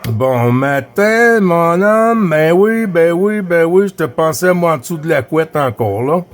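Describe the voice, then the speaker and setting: Male CB radio operator, Quebec French accent, fairly high-pitched, chatty and friendly, loops back on phrases and call codes. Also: lively intonation, measured pace. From Quebec, Canada. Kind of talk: casual radio chat.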